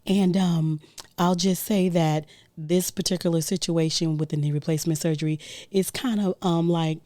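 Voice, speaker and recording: A woman from New York City with a raspy, worn voice from allergies, co-hosting a podcast and teasing her husband. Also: lowish voice, steady tone, normal speed, warm tone, dry recording. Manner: sassy, affectionate teasing. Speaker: podcast co-host. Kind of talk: casual chat.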